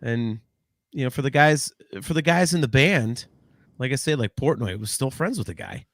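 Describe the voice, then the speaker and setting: A man's voice: mid-range voice, expressive, moderate pace, a bit bright, no room sound. Man, 40s, podcast co-host, rambling, swears, restarts a lot, dry close sound. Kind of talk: casual podcast chat.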